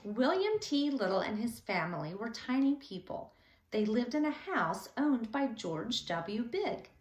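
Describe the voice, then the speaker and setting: A woman teacher reading a children's story aloud in an animated, deliberate storytelling manner. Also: fairly high pitch, echoey room.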